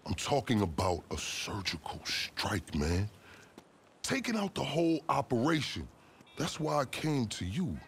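A man playing a calculating police officer in a video game cutscene, speaking deliberately in formal, wordy phrases with some swearing. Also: medium pitch, sing-song, slight background noise. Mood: resentful, conspiratorial. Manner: deliberate, verbose, formal vocabulary mixed with swearing. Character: smooth, calculating rookie cop with a grudge against corruption.

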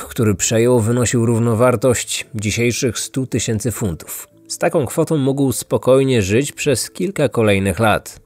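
A man narrates a scripted true-crime tale at an average male pitch. His storytelling is measured, and the sound is dry, with music underneath. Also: darkish tone, no room sound. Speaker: podcast host and narrator.

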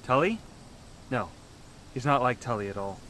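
An actor playing an earnest young river-dweller guide in an audio drama, speaking formally. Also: male voice, medium pitch, lively intonation, moderate pace, fairly bright tone, slight background noise, close to an everyday voice. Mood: eager. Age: young adult.

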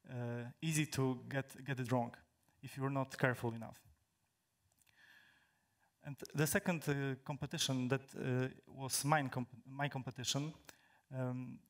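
A young man from Poland, a native Polish speaker, giving a technical talk on stage in a large hall, halting with many fillers and restarts. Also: medium pitch. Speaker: conference speaker.

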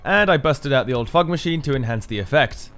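A man's voice: mid-range voice, lively intonation, fairly quick, a bit bright. Man narrating a how-to over music. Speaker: maker presenting a build.

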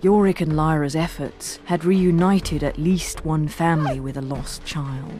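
A woman's voice: low-pitched, fairly even intonation, measured pace, music in the background. A woman playing a storyteller, giving scripted narration of a fantasy adventure. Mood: measured.

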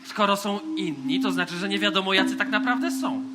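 A man's voice: high voice, animated, fairly quick, heavy background noise. An actor playing a bossy, macho boy captain in a radio play, pompous and scornful. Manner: pompous, self-assured. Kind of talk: theatrical radio play acting. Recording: loud music behind the voice.

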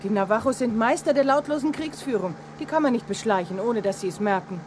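Sensible, spirited young female companion, played by a woman, higher register, 1980s adventure audio drama, faint noise. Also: lively intonation, fast talker, a little mellow, close to an everyday voice. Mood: assertive. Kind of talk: 1980s German radio play acting.

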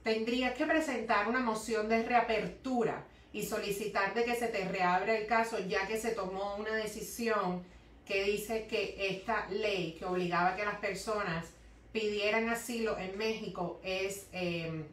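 A woman's voice: medium pitch, fairly even intonation, unhurried. A woman in her thirties from Cuba with a Cuban Spanish accent, an immigration lawyer answering viewers' questions live in her office, mixing in English words. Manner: explanatory, reads questions aloud, mixes in English words. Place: law office, indoors. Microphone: webcam at desk distance. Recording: echoey office, voice a bit far from mic.

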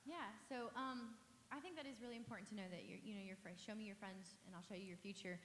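A woman's voice: mid-range voice, quick pace. A young woman in her twenties who leads a church's young adults, speaking eagerly with fillers on a panel. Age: 20s. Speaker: young adults staff leader. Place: church stage, large hall. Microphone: handheld mic. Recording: echoey hall with faint hiss.

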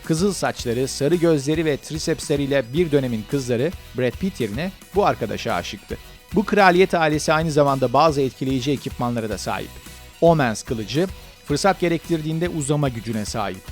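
A man's voice: medium pitch, lively intonation, brisk. Male scripted countdown narrator, faint music behind.

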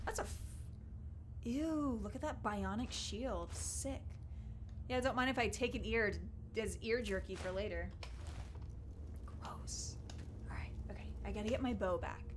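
A young woman playing a video game with a headset, jittery and excitable, swinging from loud yelps to hushed muttering. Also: fairly high pitch, a little slow, pauses often, background noise. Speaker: gamer. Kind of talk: let's play commentary. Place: small room indoors. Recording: game music loud behind voice. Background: game music.

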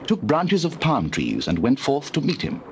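A man's voice: medium pitch, wildly swooping pitch, normal speed, heavy background noise. A man narrating a dramatized scripture in a formal, solemn storytelling manner.

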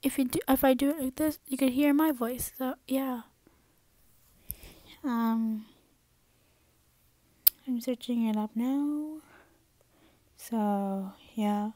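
A woman's voice: high-pitched, takes it slowly, pauses often, mellow, no room sound. A young woman rambling casually in an informal amateur vlog. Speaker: amateur voice impressionist. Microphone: earphone mic, phone at arm's length.

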